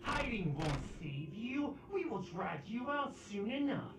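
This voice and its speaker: A woman's voice: a little high, sing-song, a little slow, noisy background. A woman voicing a threatening, commanding pursuer in a video game, hunting someone in hiding. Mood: menacing. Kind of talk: video game character dialogue. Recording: distant, echoing, under loud music.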